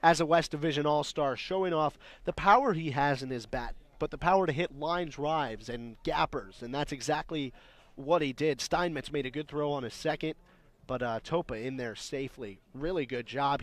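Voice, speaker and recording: A man serves as the color commentator on a live baseball radio broadcast. His voice is a touch higher than most men's. He breaks down the plays in a conversational way. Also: normal speed.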